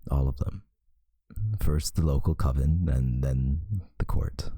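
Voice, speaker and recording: A man voicing a possessive yet tender male vampire lover in an intimate, hushed ASMR roleplay, often slipping into a whisper. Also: steady tone, no echo, clean studio sound, exaggerated voice. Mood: adoring, reassuring. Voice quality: very deep, breathy, gravelly, often whispering. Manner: slow, hushed, soothing, seductive.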